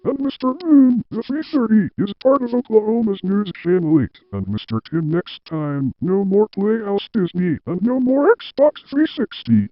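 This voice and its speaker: A man's voice: high-pitched, very animated, normal speed, few pauses, no echo. A man playing a stern, indignant station boss in a comedy sketch, delivering an emphatic scolding. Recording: dull lo-fi audio.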